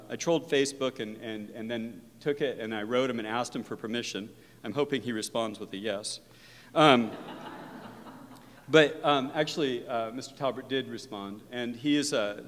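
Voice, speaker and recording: A man's voice: medium pitch, a bit bright. A male professor of religion giving an earnest, reflective university lecture that mixes in personal stories. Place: lecture hall. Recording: long reverb of a lecture hall.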